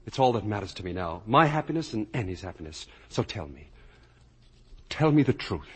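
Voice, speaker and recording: A man's voice: on the low side, very animated, brisk, breathy edge, heavily acted. A man playing an earnest, desperate young veteran son in a 1950 radio drama, speaking insistently. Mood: desperate, pleading.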